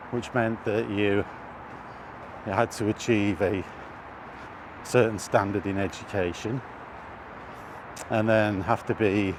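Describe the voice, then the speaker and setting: A middle-aged British man rambling casually as he thinks aloud, often hesitating over his words. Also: fairly low pitch. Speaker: vlogger. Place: outdoors while walking in an urban area. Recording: noisy outdoor recording with traffic. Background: clear street and vehicle noise.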